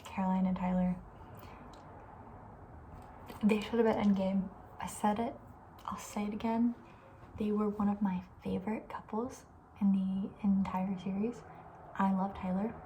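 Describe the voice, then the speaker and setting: Casting: female ASMR host, soft and breathy, often near-whispered, chatty and informal, medium pitch, some background noise. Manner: chatty, informal, thinking aloud. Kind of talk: soft-spoken ASMR, casual chat.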